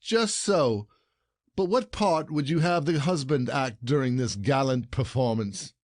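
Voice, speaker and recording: A man's voice: mid-range voice, measured pace, no room sound, clean studio sound, close to an everyday voice. A man playing an indignant, proud, jealous husband in a classic comedy audio play, scolding in formal old-fashioned phrasing. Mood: jealous, sarcastic.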